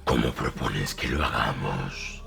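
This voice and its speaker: Very deep, menacing warrior brute. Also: male voice, vocal fry, noisy background, exaggerated voice. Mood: questioning. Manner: slow, gleeful menace. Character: brutal warrior henchman who relishes killing, male. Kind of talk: dramatic audiobook villain acting.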